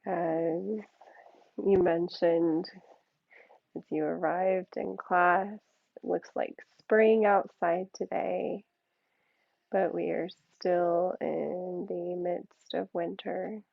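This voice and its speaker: A woman yoga teacher leading a guided meditation in a soothing, unhurried way, with gentle pauses between short phrases. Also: on the low side, steady tone, vocal fry, no room sound, narrow, tinny audio.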